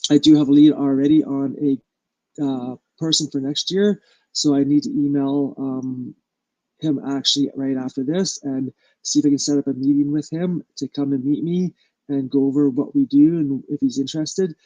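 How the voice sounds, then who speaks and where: A man with a stuffy nose, hosting a casual live stream about his small business and talking haltingly with lots of ums and uhs. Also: medium pitch, little pitch movement, warm tone, no echo, lo-fi sound.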